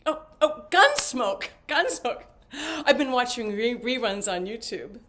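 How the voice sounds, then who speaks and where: High-voiced, talkative, flippant mother played by an actress. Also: animated, unhurried, fairly bright tone, slight background noise. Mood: exuberant. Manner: rambling, joking, theatrical with stammered asides. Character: talkative, flippant older mother, eccentric and evasive. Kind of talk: stage play acting.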